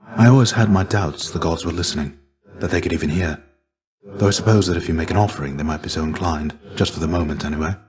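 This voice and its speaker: Game role: male actor as a low-voiced veteran warrior turned mentor, measured, earnest, gently weary and formal. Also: lightly acted. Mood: solemn, reflective. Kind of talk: scripted video game voice acting.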